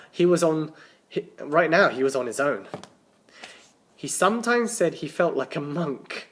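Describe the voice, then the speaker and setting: A man reading a children's story aloud as a teacher, with animated, dramatic emphasis and the odd stumble over words. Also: a little high. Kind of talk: expressive children's storybook narration.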